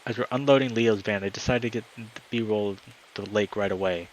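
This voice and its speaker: A man's voice: on the low side, fairly quick, a little hiss or hum, low-quality recording. A man speaking as a live streamer, moving between friendly chat and reading a story aloud. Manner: upbeat, friendly, excitable when greeting viewers.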